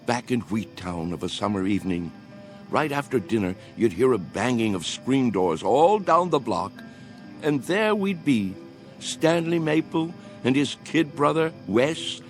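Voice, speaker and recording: An actor in a radio drama playing a spirited old man, earnest, dreamy and pleading as he urges his friends to feel young. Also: medium pitch. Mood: wistful.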